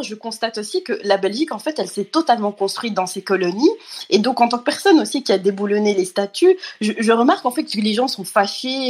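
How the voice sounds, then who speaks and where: A woman's voice: mid-range voice, breathy edge. A young woman of Rwandan origin, speaking in a panel discussion as a specialist, reflective and full of fillers. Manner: reflective, many fillers like "en fait". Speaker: panelist, specialist of the Afro-descendant community. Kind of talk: casual discussion.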